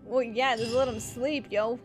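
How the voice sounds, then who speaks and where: Gamer girl doing playthrough commentary, really high voice, casual and expressive, bit of game music behind. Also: measured pace. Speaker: gaming streamer. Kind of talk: playthrough commentary, reading game dialogue aloud.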